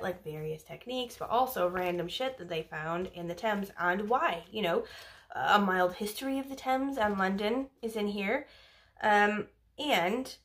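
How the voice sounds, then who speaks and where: A young woman from Wales chatting casually about books, rambling with lots of fillers and the odd swear word. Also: a little high. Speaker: book vlogger. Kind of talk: casual book review chat.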